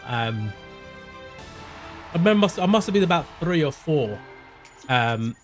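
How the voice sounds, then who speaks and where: Excitable high-voiced man commentating races. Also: unhurried, soft background music. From Portugal. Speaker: game streamer. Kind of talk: live gameplay commentary and chat reading.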